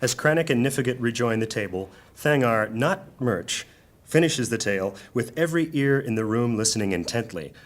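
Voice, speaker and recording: A man narrating a fantasy audio drama as a solemn storyteller, measured, grave and formal. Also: medium pitch, animated, natural voice. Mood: hushed, grave.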